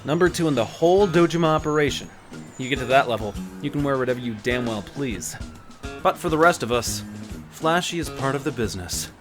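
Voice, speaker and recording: An actor playing a slick, talkative, cocky young yakuza in a video game fan dub, over guitar music. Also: male voice, mid-range voice, expressive, fairly quick, lightly acted. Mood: cocky, lecturing. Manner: cocky, chatty, casual.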